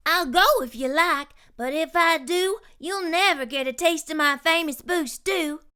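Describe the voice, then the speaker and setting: A woman's voice: very high voice, unhurried, pushed and bright, pitched above the performer's own voice, full character voice. A woman voicing a bold, unflappable backwoods cook who speaks in plain, folksy country talk. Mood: unruffled.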